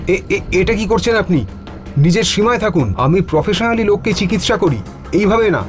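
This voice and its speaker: A man's voice: sits high, animated, moderate pace, over music, pitched above the performer's own voice. A man voicing an earnest, frightened doctor in a horror cartoon, flustered and polite as he speaks. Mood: flustered, indignant. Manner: flustered, polite, stammering in protest. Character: frightened, earnest, decent male doctor on a house call.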